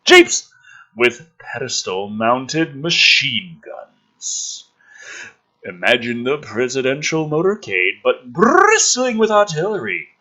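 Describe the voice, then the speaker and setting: A man doing an animated read-through of a script, theatrical, with exasperated and mocking asides. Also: mid-range voice, slow pace, lo-fi sound. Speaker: host reading and mocking a film script aloud.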